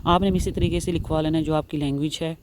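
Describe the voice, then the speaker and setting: A woman's voice: low voice, steady tone, quick pace, background noise. A woman narrating a step-by-step tutorial, mixing English words into her speech and repeating her instructions. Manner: repetitive instructional phrasing with English words mixed in. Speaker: tutorial host.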